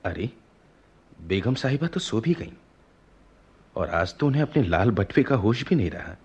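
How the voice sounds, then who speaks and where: An actor playing a lovesick young prince in a radio play, imperious yet earnest, with courtly theatrical diction. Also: male voice, on the low side, big pitch swings, normal speed, a little mellow, soft background music. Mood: musing, then agitated. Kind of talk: Hindi-Urdu radio play acting.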